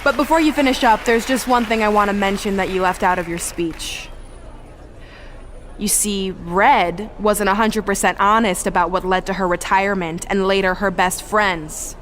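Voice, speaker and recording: An actress playing a vindictive young woman wrestler in a video game cutscene, taunting and theatrical, with drawn-out emphasis. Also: medium pitch, steady tone, a bit bright, a faint crowd, slight background noise, lightly acted. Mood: smug, accusing.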